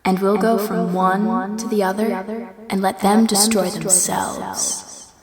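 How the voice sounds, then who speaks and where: A woman playing a cold, calculating alien leader in a radio play, speaking in a measured, instructing way. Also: medium pitch, steady tone, natural voice. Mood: cool, instructive. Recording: echoey long reverb, crowd noise behind.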